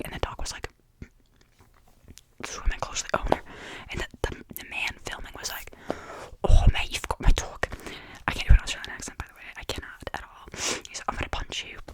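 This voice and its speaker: Young woman whispering chatty ASMR rambles. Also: fairly bright tone. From Canada. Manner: whispers chattily with many fillers and restarts. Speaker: ASMR creator.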